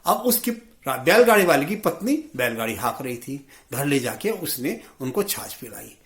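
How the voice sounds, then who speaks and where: A man telling a moral story in an expressive, colloquial storytelling style. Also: medium pitch, moderate pace. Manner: expressive, colloquial, acts out dialogue. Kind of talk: animated moral storytelling in Hindi. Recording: roomy, echoey sound.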